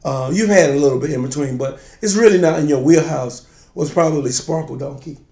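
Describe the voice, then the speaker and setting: A man with a slightly raspy, congested voice chatting casually as co-host of a drinks review show. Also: a little high, brisk, darkish tone. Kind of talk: casual chat. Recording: roomy, echoey sound.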